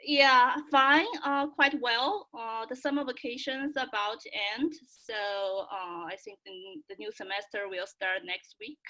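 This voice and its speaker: A young adult woman from China with a Chinese accent, chatting casually in halting, excitable, giggly English on a video call. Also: on the high side, poor audio. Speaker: student and fan club member.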